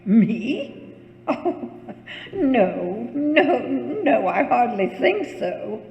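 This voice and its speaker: An actress as a nervous, bedridden wife in a radio thriller, her voice very high and her delivery slow and fretful. She is imperious and easily panicked, stumbling over words when rattled. The sound rings with long hall reverb. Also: sing-song, gravelly, darkish tone, muffled recording, heavily acted. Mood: dismissive, uneasy. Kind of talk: suspense radio play acting.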